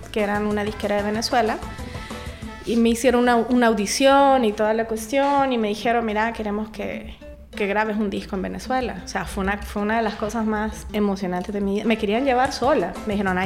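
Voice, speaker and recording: A talkative Salvadoran woman in her forties, a singer-songwriter guest recounting things in a relaxed, laughing way. Her voice sits a little high for a woman, and music plays under the talk. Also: a little fast. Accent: Salvadoran Spanish. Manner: chatty, laughs often, restarts words. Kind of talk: casual interview chat.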